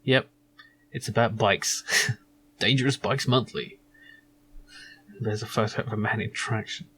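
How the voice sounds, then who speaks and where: A man's voice: lowish voice, steady tone, a little slow, bright, forceful tone, a little hiss or hum, rough recording. A man giving loose, rambling commentary as he plays a video game and reads its text aloud. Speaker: video game let's player.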